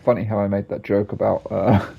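A man's voice: fairly low pitch, little pitch movement, a little fast, vocal fry, slightly rough, highs cut off. A man giving casual commentary over a video game as its host.

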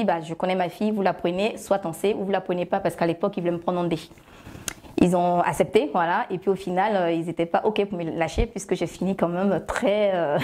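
A woman in her thirties speaks with an Ivorian French accent in a lowish voice. She talks easily and chattily, with plenty of fillers and restarts, recorded on a lapel mic in a small bright studio. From Côte d'Ivoire. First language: Agni. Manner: chatty, many fillers and self-corrections. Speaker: guest, love shop founder. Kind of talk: relaxed interview answers.

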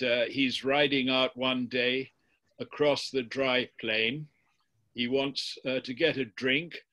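Older guy on a video call, talks really slow, formal storyteller type intro to a poetry reading. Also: mid-range voice, a bit bright. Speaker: poet and translator. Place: book-lined room at home, on video call.

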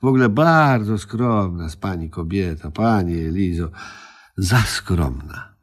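A lazy, aggrieved household freeloader for a comic radio play. His voice is low and husky, with big leaps in pitch. He grumbles and whines, repeating himself as he justifies everything. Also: lively intonation, a little slow. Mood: self-justifying. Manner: grumbling, whining, indignant, hesitant repetitions. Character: sulky, self-justifying grown man, lazy household freeloader. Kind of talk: comedic radio play acting.

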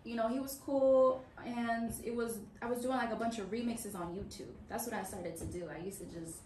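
A young woman from Washington, D.C. talks through her story in a lively, chatty way, with a fairly high voice. She sits off-mic in a small room, so her voice sounds roomy and distant. A faint hum sits behind her. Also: fairly quick. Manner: animated storyteller, many "you know"s. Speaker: guest musician. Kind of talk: casual interview chat. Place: small office meeting room, indoors.

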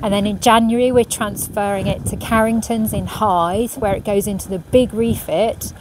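Woman, middle-aged, quiet thoughtful sailor, outdoor marina, camera at a distance, audible traffic and harbour noise. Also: medium pitch, steady tone, normal speed. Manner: quiet, thoughtful, reads out questions then answers with many ums. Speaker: professional ocean racing sailor answering viewer questions. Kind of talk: casual question and answer. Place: outdoors on a marina pontoon beside a racing yacht. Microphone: camera at medium distance, phone in hand. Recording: outdoor recording with clear background noise. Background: marina ambience and passing vehicles.

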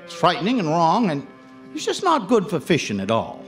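A man's voice: fairly high pitch, huge pitch swings, normal speed, a bit bright, faint music behind. An actor playing a lonely, wry Maine ice fisherman telling a ghostly tale in a live radio drama. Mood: wry, rueful. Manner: wry, folksy. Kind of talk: live radio drama first-person storytelling. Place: live on stage in an auditorium. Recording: noisy live stage recording.

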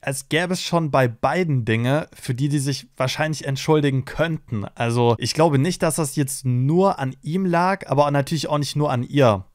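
A man's voice: fairly high pitch, normal speed, few pauses, dry recording, studio quality. A young man casually commenting on a reality show for his viewers, chatty and talking to them as friends, at a desk microphone at home. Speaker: reaction streamer. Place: home streaming room. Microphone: desk microphone on arm.

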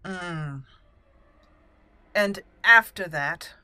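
Bright-voiced woman thinking aloud. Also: on the low side, clear, resonant tone. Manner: musing, deliberate, thinking aloud. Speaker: gaming channel host roleplaying a character. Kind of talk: let's play commentary with in-character dialogue.

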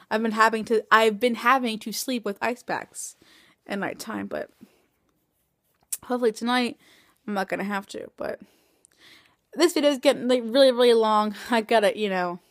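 A woman of twenty talking into a phone camera at home at night, tired and in pain after surgery, rambling with many halting restarts. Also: mid-range voice, a bit bright, dry recording, clean studio sound. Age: 20s. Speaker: vlogger. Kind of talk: casual video diary. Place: small room indoors at night. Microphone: phone camera held close.

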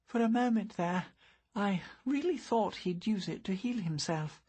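A man voicing a gentle, thoughtful young woman who speaks softly. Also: high-pitched, expressive, unhurried, slightly rough, pitched above the performer's own voice, exaggerated voice. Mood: sorrowful. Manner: soft and hushed.

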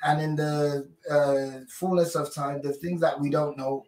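A man in his forties, a pastor, answering questions in a measured, hesitant way over a video call from home. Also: on the high side, steady tone, darkish tone. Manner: measured, hesitant, many fillers. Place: home room, indoors. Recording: echoey room, far from mic.

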